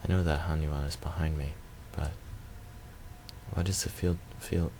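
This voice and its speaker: Very deep-voiced man reading, gravelly. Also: steady tone, a little slow, warm tone, slight background noise, rough recording. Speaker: let's player reading a visual novel. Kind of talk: reading narration aloud.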